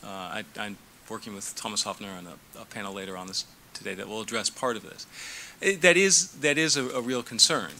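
Middle-aged male lawyer, bright voice, hesitant panel answers full of fillers. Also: on the low side, brisk, vocal fry, slight background noise. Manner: hesitant, many fillers and restarts. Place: conference room. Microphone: handheld mic.

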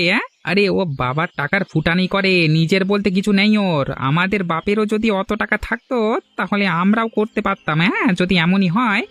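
A nosy young college lad with a lively, swooping delivery, always suspecting his friend of something shady, as an actor plays him. Light music sits under the voice. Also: mid-range voice. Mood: mocking. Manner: suspicious, prying. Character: suspicious young male college student.

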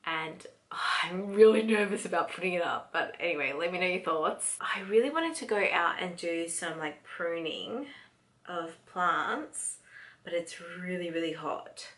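An Australian woman in her thirties talks to the camera in a casual, chatty vlog style. Her voice sits a little low. She is recorded at home in a small furnished room, a short distance from the camera. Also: animated, normal speed, a bit bright. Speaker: vlogger and mother of a toddler. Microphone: camera a short distance in front.

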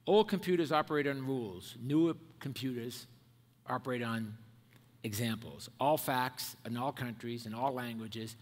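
Older man speaking in a hall. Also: medium pitch. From Ireland and America. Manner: measured, emphatic, builds points in lists. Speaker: keynote speaker. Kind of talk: prepared conference speech. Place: large dark auditorium stage at a podium. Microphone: headset mic. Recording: long hall reverb.

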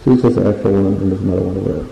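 Man, casual DIY vlogger, rambling and halting delivery, gravelly dark voice, phone audio in an echoey empty drywall room. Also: low voice, fairly even intonation, a little hiss or hum. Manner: rambling, searches for words, lists things as he points. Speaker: home renovation vlogger. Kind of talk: casual walkthrough narration. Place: unfinished basement room with bare drywall, indoors. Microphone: handheld phone camera. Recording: echoey bare room, dull lo-fi sound.

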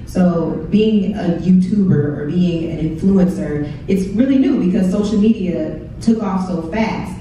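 A woman's voice: fairly low pitch, dark tone, a little hiss or hum. Young woman talking in an echoey hall. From Texas. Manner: chatty, candid, quotes her own thoughts. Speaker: YouTuber on a panel. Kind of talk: casual panel talk. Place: large hall, indoors. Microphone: handheld mic, heard from a far camera. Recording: echoey hall from far off, dull.

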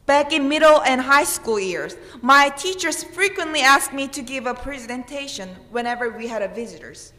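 A woman's voice: high voice, animated, moderate pace, hardly any pauses, pushed and bright. A woman in her thirties with a Korean accent, preaching a sermon at a church pulpit in an earnest manner with emphatic questions. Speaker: pastor. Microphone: pulpit microphone. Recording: roomy church with long reverb.

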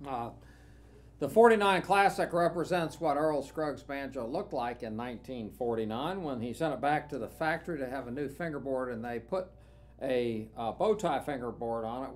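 Relaxed older man showing off a banjo. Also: medium pitch. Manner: relaxed, unhurried, with frequent "uh" fillers. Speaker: banjo dealer. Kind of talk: casual product walkthrough. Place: small studio room with instruments, indoors.

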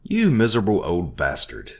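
An angry young heroine, put on by a man in a told story. She shouts her accusations in a raised voice. She is fed up and indignant. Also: rumbling low, huge pitch swings, moderate pace, heavily acted. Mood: furious.

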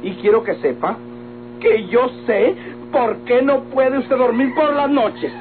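An actor playing a meddling, timid and devoted Mexican manservant, prim and whiny, in old radionovela style. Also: male voice, very high-pitched. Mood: pleading, indignant. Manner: whiny, effusive, prim, drawn-out pleading. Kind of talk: golden-age Mexican radionovela comic acting. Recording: old tinny radio recording with music.

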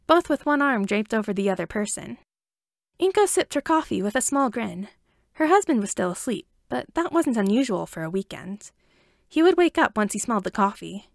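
A woman's voice: a little high, no echo, studio quality. A woman narrating a scripted story as an amateur audiobook reader.

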